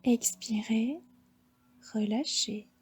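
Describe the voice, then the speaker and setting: A woman with a French accent guiding a yoga nidra relaxation, giving slow, soft, half-whispered breathing cues. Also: a little high, breathy edge. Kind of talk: guided relaxation instruction.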